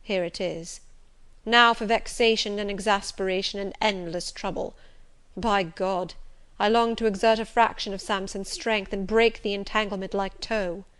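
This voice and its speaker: A woman reading as a stormy, impassioned gentleman. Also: medium pitch, steady tone, moderate pace, smooth, lightly acted. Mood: vexed. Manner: loud and impassioned, urgent. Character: passionate, stormy middle-aged gentleman. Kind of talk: audiobook reading.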